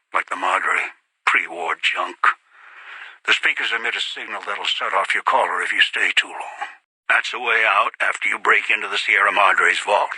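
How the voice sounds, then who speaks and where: A cold, scheming old man in a video game. The voice is low and raspy with a hard, forceful edge, captured very dry. Each order comes clipped and deliberate, full of controlled threat. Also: no room sound, heavily acted, rough recording. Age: older.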